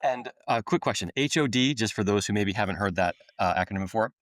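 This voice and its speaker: A man hosting a podcast, asking questions haltingly and restarting his words. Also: fairly low pitch, no echo, clean studio sound. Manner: halting questions with repeated word starts. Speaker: podcast host. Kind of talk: interviewing a guest.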